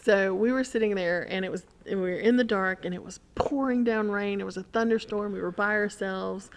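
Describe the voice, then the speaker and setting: A middle-aged woman telling stories in casual conversation, in an animated, rambling way. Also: medium pitch, fairly quick. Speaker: podcast guest or co-host. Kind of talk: casual chat, storytelling.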